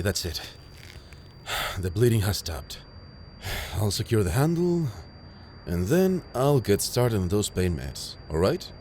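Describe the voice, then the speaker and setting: A man playing a calm, caring field medic who reassures a patient in an intimate audio roleplay. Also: on the low side, a little hiss or hum. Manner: gentle, reassuring, matter-of-fact, addressing a patient directly.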